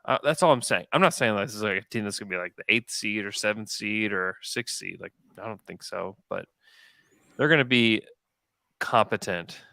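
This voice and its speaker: A man's voice: fairly low pitch, moderate pace, creaky, pushed and bright, no echo. A man hosting a sports podcast, arguing his points emphatically in a casual debate.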